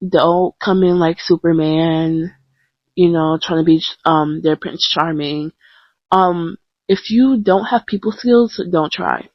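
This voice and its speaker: A woman's voice: lowish voice, takes it slowly, no echo. A young woman talking casually in a podcast style, chatty and self-deprecating, with lots of ums and restarts. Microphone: close mic, blank screen. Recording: dull lo-fi home recording.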